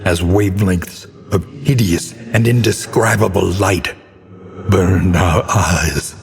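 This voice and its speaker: An actor playing a grim, haunted scholar who narrates cosmic horror in a grave, ornate, deliberate manner for a game. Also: male voice, on the low side. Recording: long hall reverb, noisy ambient bed.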